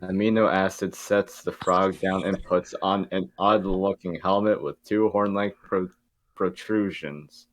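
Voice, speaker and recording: A man's voice: sits low, steady tone, unhurried, poor audio. A man reading stage directions aloud as narrator in an amateur table read.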